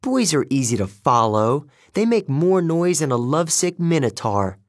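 Man voicing a bossy, high-pitched teenage demigod girl. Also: big pitch swings, measured pace, slightly rough, no room sound, clean studio sound, full character voice. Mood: smug, commanding.

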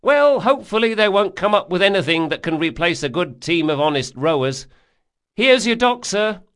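A man's voice: high-pitched, animated, normal speed, fairly bright tone, smooth, pitched above the performer's own voice. A man voicing a blunt, chatty working boatman in an audiobook, colloquial and opinionated. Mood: obliging. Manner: colloquial, dropped words, exclamatory.

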